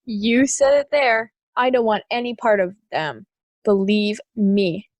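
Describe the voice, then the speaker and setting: A woman's voice: medium pitch, takes it slowly, no room sound, lightly acted. A woman playing a stubborn, hot-headed, prejudiced juror in an amateur audio drama. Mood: heated.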